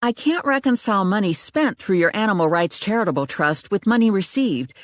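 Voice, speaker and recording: A woman's voice: mid-range voice, expressive, brisk, dry recording, studio quality, voice pushed up, close to an everyday voice. A woman playing a frazzled, blunt young professional woman in audiobook dialogue, with an exasperated manner.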